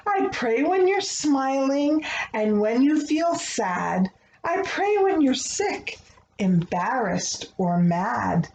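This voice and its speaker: Very slow, animated woman reading a storybook. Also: high voice. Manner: expressive, deliberate phrasing for young children. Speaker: children's book reader. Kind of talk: read-aloud storytelling of a rhyming picture book. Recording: roomy echo, faint background noise.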